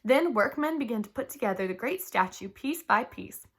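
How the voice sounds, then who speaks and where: A woman with a fairly high voice reads a children's story aloud. Her pitch moves with plenty of swing, and her delivery is animated and expressive. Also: normal speed, breathy edge. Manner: animated, acts out dialogue. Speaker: storybook reader.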